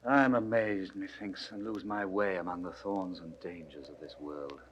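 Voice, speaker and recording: A man's voice: low-pitched, fairly even intonation, normal speed, breathy edge, highs cut off. An actor playing a stern, suspicious, grief-struck nobleman in weighty, declamatory 1960s Shakespearean style. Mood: troubled, declaiming. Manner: declamatory, weighty verse delivery. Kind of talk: 1960s classical Shakespearean recorded acting.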